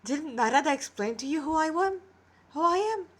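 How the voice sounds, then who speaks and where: A woman's voice: high voice, expressive, normal speed, pitched above the performer's own voice. Woman voicing a playful, self-assured teenage boy god. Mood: amused. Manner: chuckling, affectionate. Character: eternally sixteen-year-old boy god, gentle, playful, self-assured.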